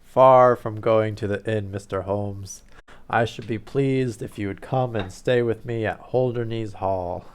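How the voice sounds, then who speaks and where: A man voicing a stately, red-bearded middle-aged duke in a loud, deep, booming voice. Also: a little slow, clear, resonant tone, natural voice. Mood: stiff, haughty.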